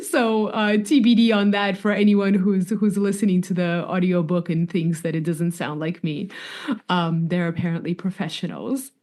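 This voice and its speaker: A woman's voice: on the low side, steady tone, normal speed, a little mellow. A woman author with a mixed Russian, New York and slightly French accent, talking reflectively as a guest in a podcast interview. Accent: mix of Russian, New York, French and London. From Russia, raised in New York. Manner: reflective, with fillers and light laughs.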